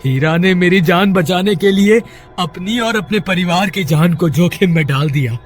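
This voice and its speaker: Man acting a high-voiced panicky villager, music behind. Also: normal speed, natural voice. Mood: pleading. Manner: frantic, whiny pleading. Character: panicky, pleading unmarried village man fleeing a ghost. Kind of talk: Hindi horror cartoon character voice.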